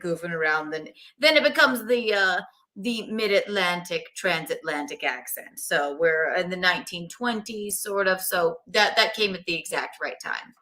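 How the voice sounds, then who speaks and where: A woman's voice: mid-range voice, sharp tone. A young woman from South Carolina, a stand-up comedian chatting as a guest and joking at her own expense. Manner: jokey, self-deprecating, rambling. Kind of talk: casual interview chat.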